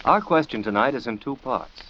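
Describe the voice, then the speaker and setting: An actor playing a confident, cool amateur detective in 1940s radio style, assured and commanding. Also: male voice, medium pitch, animated, a little fast, lightly acted. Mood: genial, hosting. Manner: taunting, assured, crisply commanding. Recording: muffled, noisy 1940s radio recording.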